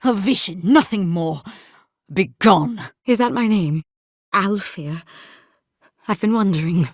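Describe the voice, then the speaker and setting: A woman's voice: lowish voice, big pitch swings, normal speed, dry recording, studio quality. A woman voicing a stern, haughty and zealous female military captain in a video game, speaking in a formal, clipped, imperious way. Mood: troubled, fearful. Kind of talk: video game character dialogue.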